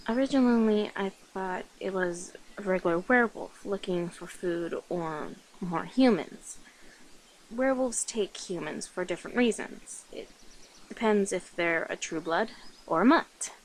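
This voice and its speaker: A woman playing a shy, playful and protective centuries-old vampire in an intimate ASMR roleplay, speaking softly and hesitantly. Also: medium pitch, measured pace, a little hiss or hum, close to an everyday voice, low-quality recording. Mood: serious, explaining. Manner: hesitant, soft-spoken, breathy whispers, nervous giggles. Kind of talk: ASMR roleplay, intimate one-sided dialogue.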